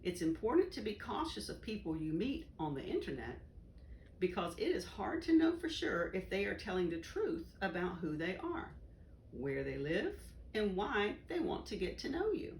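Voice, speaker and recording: A woman reading a children's educational book aloud in a deliberate, instructive way. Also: mid-range voice, very animated, darkish tone. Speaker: volunteer story reader. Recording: roomy echo, faint background noise.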